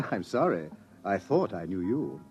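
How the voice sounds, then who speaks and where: A man's voice: low-pitched, sing-song, measured pace, a little mellow, soft background music. A man acting in a 1950s radio drama as a sly pursuer who toys with his prey in a mock-polite, insinuating way.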